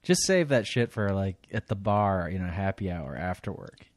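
A man's voice: low voice, expressive, moderate pace, no echo, studio quality. A man talking bluntly and sarcastically, with plenty of swearing, in a casual podcast chat. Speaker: podcast co-host.

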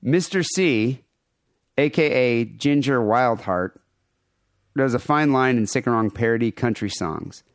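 A man's voice: medium pitch, lively intonation, a little slow, dry recording, studio quality. A man co-hosting a podcast, rambling casually with crude, irreverent jokes and show plugs. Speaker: podcast co-host.

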